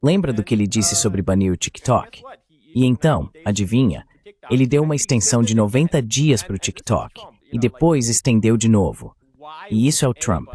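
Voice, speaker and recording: A man's voice: fairly low pitch, very animated, normal speed, dark tone. A man giving translated voice-over political commentary, opinionated and fond of rhetorical questions.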